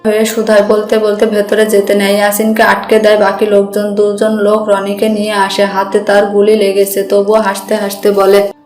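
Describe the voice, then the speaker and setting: A woman's voice: mid-range voice, completely flat delivery, normal speed, a little mellow. A woman narrating a dramatic scripted story. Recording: roomy echo with music bed.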